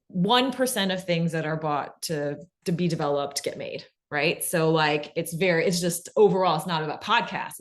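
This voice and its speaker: A woman talking casually on a panel, explaining things in a conversational way with checking tags. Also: low voice. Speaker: panelist presenting on podcast pitching.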